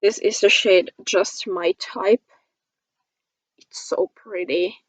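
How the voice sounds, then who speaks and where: A woman's voice: mid-range voice, steady tone, takes it slowly, no echo, low-quality recording. A woman from Finland chatting casually about products as a beauty vlogger, in a gushing, giggly manner. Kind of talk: casual product review chat to camera.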